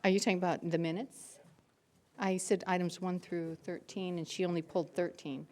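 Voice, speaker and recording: A woman's voice: fairly low pitch, level tone, darkish tone. Woman mayor running a council vote. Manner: procedural, brisk motions and votes. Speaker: mayor chairing council meeting. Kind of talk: chairing a council meeting.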